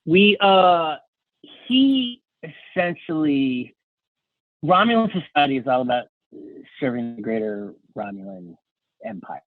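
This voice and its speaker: A man with a medium-pitched voice chats as a podcast guest, recorded dry and close. He speaks casually, breaks off and restarts his thoughts, and leans toward joking. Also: expressive, unhurried, pauses often, dry recording, phone-quality sound.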